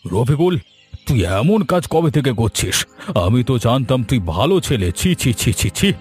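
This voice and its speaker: Man doing a stern, measured village headman. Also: mid-range voice, big pitch swings, a little mellow, slightly rough. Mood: disapproving. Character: stern older village headman, inquiring and disapproving. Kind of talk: cartoon voice acting. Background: background music.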